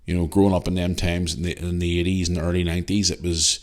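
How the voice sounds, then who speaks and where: Low-voiced Northern Irish podcast host. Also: male voice, level tone, fast. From Northern Ireland. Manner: rambling, chatty, with many fillers and restarts. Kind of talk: casual solo podcast, reading listener email.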